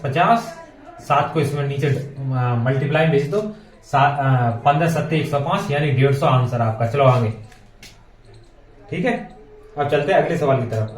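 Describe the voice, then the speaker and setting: A man teaches maths step by step in an informal way, repeating phrases and adding frequent check words. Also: a little high. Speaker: maths teacher for exam preparation. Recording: echoey room, distant microphone.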